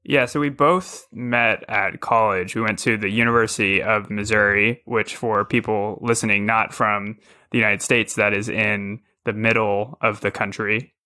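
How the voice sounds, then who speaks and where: A man's voice: fairly low pitch, fairly even intonation, normal speed, pushed and bright. A man from Texas, a podcast guest, talking casually with wry, joking asides. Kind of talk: casual interview.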